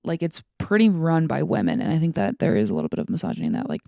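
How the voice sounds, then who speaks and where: Young woman podcaster with a creaky, lowish voice. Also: wildly swooping pitch, very fast, warm tone, no room sound, clean studio sound. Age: young adult. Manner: informal, peppered with like and mild swearing. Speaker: podcast co-host. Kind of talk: casual opinionated chat.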